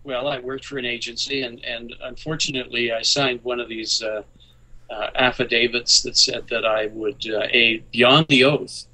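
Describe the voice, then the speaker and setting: Bright-voiced older man, wry and halting. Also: medium pitch, little pitch movement, poor audio. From Scotland. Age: 60s. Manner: wry, jokey asides with hesitant fillers. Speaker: radio guest. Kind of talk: casual interview talk.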